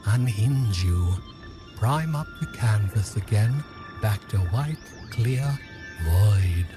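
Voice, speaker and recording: Man acting a slow, sweeping, controlling mastermind. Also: mid-range voice. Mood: menacing, grandiose. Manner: slow, deliberate, theatrical. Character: controlling, grandiose male mastermind who reshapes realities. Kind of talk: scripted audio drama acting.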